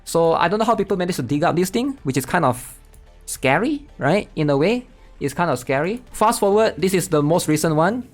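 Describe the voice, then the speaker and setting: A man's voice: on the high side, animated, brisk, soft background music. A man hosting a gaming channel, casually commenting while reading online posts aloud and often tagging sentences with "right?". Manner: chatty, tags sentences with "right?".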